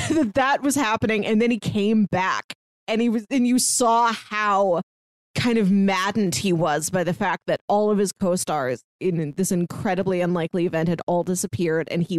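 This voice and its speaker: A woman's voice: lowish voice, moderate pace, no echo, clean studio sound. A woman co-hosting a podcast, chatting casually about a TV show, often laughing between phrases. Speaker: podcast co-host. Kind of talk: casual chat reviewing a TV show.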